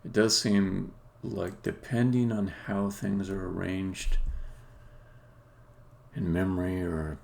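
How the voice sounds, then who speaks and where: A man live-streaming as a game developer, thinking aloud in a halting, muttering way as he codes. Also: low voice, steady tone, vocal fry, darkish tone. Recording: faint background noise. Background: computer keyboard typing and clicking.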